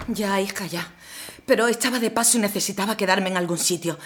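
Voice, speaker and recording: A woman's voice: mid-range voice, brisk, fairly bright tone, slight background noise, lightly acted. A woman playing a defiant anarchist sister who insists and pleads in a radio drama.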